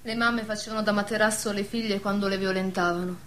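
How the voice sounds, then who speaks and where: A motherly village woman played by an actress in a radio play, sorrowful yet steadfast. Her medium-pitched voice is sombre and stern, in a southern Lazio dialect. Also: fairly even intonation, quick pace, a bit bright, a little hiss or hum, natural voice. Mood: grim. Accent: southern Lazio Italian dialect. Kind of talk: radio drama acting.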